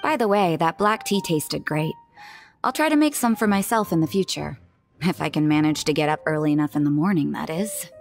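An actress playing a cool, world-weary young nun in a video game, dry and deadpan. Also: female voice, deep voice, fairly quick, vocal fry. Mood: casual, wry. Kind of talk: video game character dialogue. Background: music.

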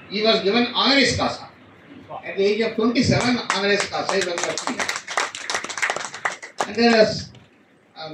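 A man's voice: on the high side, fairly bright tone. A middle-aged man with an Indian accent giving a commemorative speech at a podium as a guest speaker. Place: auditorium, indoors. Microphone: podium microphone, recorded from far camera. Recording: echoey hall from far off, noisy.

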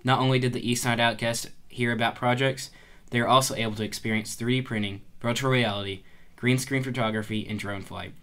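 A man's voice: medium pitch, steady tone, fairly bright tone. A teenage boy reporting for a school news show, reading a scripted voice-over. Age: teens. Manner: brisk read-aloud delivery.

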